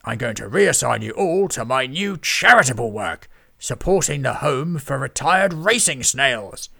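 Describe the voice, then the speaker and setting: A greedy, enormous lord who edits a newspaper, played by a man reading a storybook. He booms and barks orders in a loud, gravelly voice, a little higher than the reader's own. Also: big pitch swings, measured pace, dry recording, clean studio sound, heavily acted. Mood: scheming. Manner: booming, barking orders.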